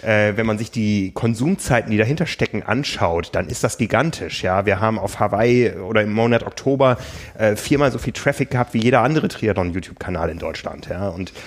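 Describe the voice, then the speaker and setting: A man hosting a podcast, talking casually and thoughtfully, often pausing with fillers. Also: fairly low pitch, expressive. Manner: thoughtful, hesitant with many fillers. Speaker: podcast host and magazine editor.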